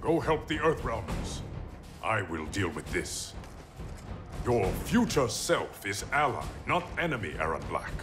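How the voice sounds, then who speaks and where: A man's voice: fairly low pitch, sing-song, a little slow. A man playing a proud warrior emperor in a video game, speaking in a formal, stately, commanding way. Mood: grave, resolute. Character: proud, regal male warrior emperor of an otherworld realm. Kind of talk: dramatic video game cutscene acting. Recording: music behind the voice.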